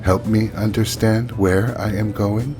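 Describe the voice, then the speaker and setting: A gloomy, existentially lost elevator played by a man speaks slowly and searchingly in a comic sci-fi audio play. The voice sits fairly low, with music clearly underneath. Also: steady tone, natural voice. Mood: forlorn. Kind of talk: audio comedy sci-fi drama.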